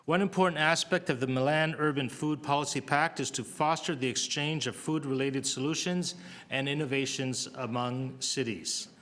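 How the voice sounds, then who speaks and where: A man's voice: mid-range voice, moderate pace, a bit bright. Man giving formal meeting remarks. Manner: reads prepared text with fillers. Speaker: board member presenting a program. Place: council meeting room.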